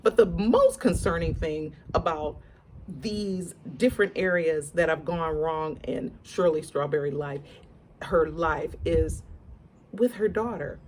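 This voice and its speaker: A woman's voice: low-pitched, slow, a little mellow, slight background noise. A woman giving casual celebrity gossip commentary in a conversational way, repeating words for emphasis and addressing her viewers directly. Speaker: online commentator.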